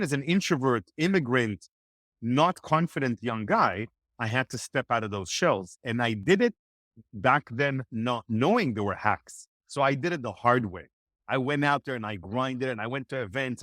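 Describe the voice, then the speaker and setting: A man whose first language is Hebrew, giving energetic, motivational networking advice as a podcast guest. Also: medium pitch, normal speed, no room sound, clean studio sound. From Israel. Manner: energetic, motivational, acts out quoted dialogue. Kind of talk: animated podcast interview.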